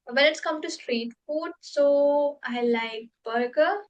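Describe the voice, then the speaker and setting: A young woman with an Indian accent leads an English practice chat. Her voice sits a little high, and she speaks in a friendly but hesitant way, filling the gaps with "uh" and "like." She is a native Hindi speaker. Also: takes it slowly, highs cut off. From Uttar Pradesh, India. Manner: hesitant, many fillers, friendly. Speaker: English conversation practice host. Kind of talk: casual conversation practice.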